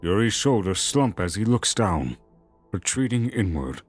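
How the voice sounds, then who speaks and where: A man's voice: lowish voice, sing-song, measured pace, husky. A man narrating an audio drama in a scripted, dramatic storytelling style. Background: music.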